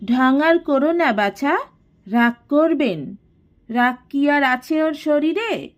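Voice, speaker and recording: A woman's voice: high-pitched, animated, slow, voice pushed up. A woman voicing a haughty, scheming older matriarch in an audio story, imperious and sarcastic. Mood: scornful, scolding. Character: haughty, scheming, domineering elder matriarch of a declining household.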